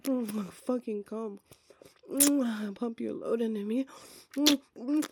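Slow breathy hellhound girl, a woman doing the voice, high and soft, bossy and teasing. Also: noisy background. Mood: teasing, domineering. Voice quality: breathy, often whispered.

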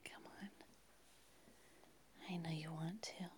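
A woman playing a seductive, insistent secret night-time lover in an intimate audio roleplay, speaking in a hushed, breathy, coaxing whisper. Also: deep voice, steady tone, a little hiss or hum. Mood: teasing, seductive. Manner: coaxing, hushed, slow and pleading.

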